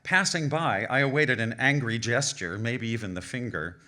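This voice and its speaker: Bright-voiced man reading a wry essay aloud. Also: medium pitch. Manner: wry, measured, literary delivery with deliberate emphasis. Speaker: author reading a personal essay at a live event. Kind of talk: scripted storytelling, humorous travel essay read aloud. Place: live reading on stage at an indoor venue.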